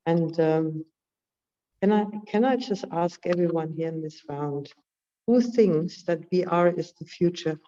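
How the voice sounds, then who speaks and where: A woman with a croaky voice from a cold, speaking in an online group discussion on a video call, often repeating how she starts a sentence. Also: on the low side, a little slow, mellow. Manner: hesitant, repeats her starts. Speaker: workshop participant. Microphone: computer mic on a video call, camera off. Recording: distant, dull lo-fi call audio.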